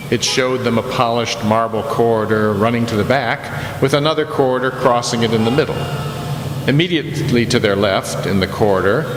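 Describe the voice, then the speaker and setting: A man narrating a radio drama as a storyteller of a mystery tale, deliberate and suspenseful. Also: mid-range voice, close to an everyday voice. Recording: echoey hall with clear background noise.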